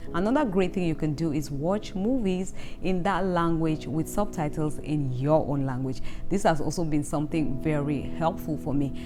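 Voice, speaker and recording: A middle-aged woman with a Cameroonian English accent talks in a low, grounded voice. She speaks earnestly and encouragingly, straight to the listener. Clear background music runs under her words. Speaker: vlogger giving advice to immigrants. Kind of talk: motivational talk to camera.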